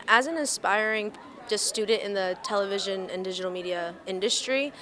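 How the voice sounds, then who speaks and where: A woman's voice: medium pitch, bright, forceful tone. A young woman student giving an earnest, positive reaction to a campus talk. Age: young adult. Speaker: student interviewee.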